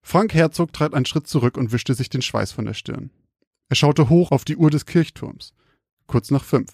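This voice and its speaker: Creaky-voiced man, close and dry. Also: mid-range voice, normal speed, darkish tone, no room sound. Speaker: podcast host and storyteller. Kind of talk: casual banter and scripted horror storytelling.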